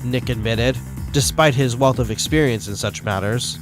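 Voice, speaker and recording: A man's voice: medium pitch, moderate pace. Man narrating a story over music. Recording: music behind the voice.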